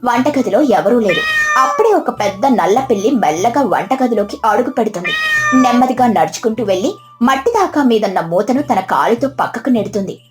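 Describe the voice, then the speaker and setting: A woman's voice: on the high side, sing-song, brisk, music in the background. A woman storyteller reading a scripted moral tale as narration.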